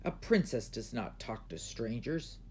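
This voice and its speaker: A man's voice: medium pitch, big pitch swings, a little slow, a little hiss or hum. A man voicing a stern, prim, scolding woman tutor in a children's storybook. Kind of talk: children's storybook read aloud.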